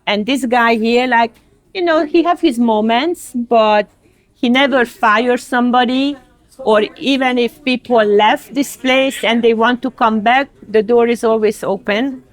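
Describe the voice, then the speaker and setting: Frank Hungarian woman in her sixties, slow and a touch high-pitched, talking about her diner job. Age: 60s. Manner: frank, speaks unhurriedly in non-native English. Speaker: diner employee. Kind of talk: casual interview.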